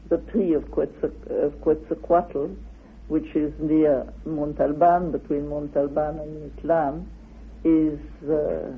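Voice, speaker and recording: A speaker explaining things as an interviewed researcher, in a deliberate and halting way. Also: medium pitch, slight background noise. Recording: narrow phone-like, lo-fi radio recording.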